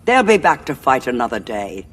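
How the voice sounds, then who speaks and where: An actress playing a cold, scheming woman cardinal of a war council, speaking in a measured, aloof, authoritative way. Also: extremely low pitch, sing-song, slightly rough, heavily acted. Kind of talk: audio drama acting. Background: music score.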